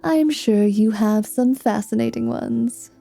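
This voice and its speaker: A woman's voice: a little high, takes it slowly, gravelly, mellow, soft background music, heavily acted. A woman voicing a flirty, world-weary female dhampir mercenary in a fantasy ASMR roleplay, teasing and dry with dramatic flair.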